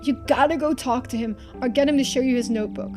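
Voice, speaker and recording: A woman voicing a confused, distressed young boy in a mystery game, halting and pleading as he talks. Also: high-pitched, over music, natural voice. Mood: desperate.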